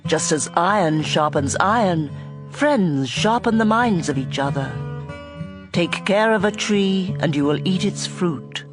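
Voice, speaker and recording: A woman reads scripture in a dramatized audio Bible style. Her voice sits a little low for a woman. A plucked guitar is clearly heard behind her. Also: lively intonation, unhurried. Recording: guitar music bed. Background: plucked guitar music.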